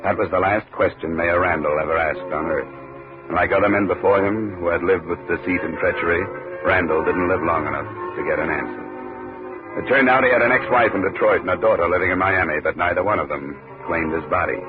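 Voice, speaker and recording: An actor playing a terse, cynical, world-weary American investigator in a 1950s radio detective drama. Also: male voice, low-pitched, brisk, lightly acted. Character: hard-boiled, cynical male investigator. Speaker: lead actor narrating as an insurance investigator. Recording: tinny 1950s radio recording, loud music.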